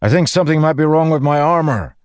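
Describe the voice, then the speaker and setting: Fantasy audiobook warrior lord, voiced by a man: earnest, probing, raised urgent shouting, dry studio sound. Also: fairly high pitch, quick pace, smooth, no room sound, pitched above the performer's own voice, close to an everyday voice. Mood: urgent, awkward.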